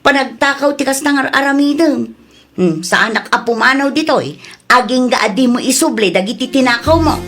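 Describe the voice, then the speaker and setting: A woman's voice: high-pitched, lively intonation, moderate pace, fairly bright tone. A woman playing a suspicious, insistent customer with a pointed, scolding manner in a comedy drama. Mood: accusing, scolding. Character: suspicious, insistent female customer exposing a cheat.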